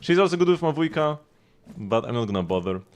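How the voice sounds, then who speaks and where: A man's voice: mid-range voice, big pitch swings, fast talker. A man giving casual live gameplay commentary as a streamer, rambling and thinking aloud. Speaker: game livestreamer. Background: game music.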